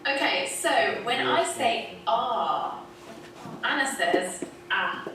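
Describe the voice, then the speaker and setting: A woman's voice: fairly high pitch, animated, slow pace, recorded from a distance. A woman with a standard southern British accent hosting a pronunciation lesson video, explaining with cheerful laughs. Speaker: English teacher, video host. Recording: echoey video played in a room, heard from afar with noise.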